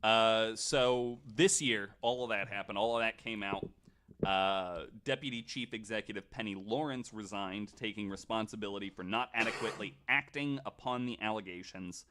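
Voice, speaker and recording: A man's voice: on the low side, moderate pace, bright, forceful tone. A man casually telling a researched story on a podcast, in an informal, somewhat hesitant way.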